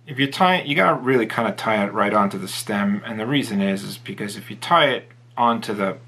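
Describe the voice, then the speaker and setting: A man's voice: lowish voice, lively intonation, brisk, sharp tone, breathy edge. A middle-aged man giving a casual fly tying tutorial at his desk, thinking aloud and dropping to mutters while he works.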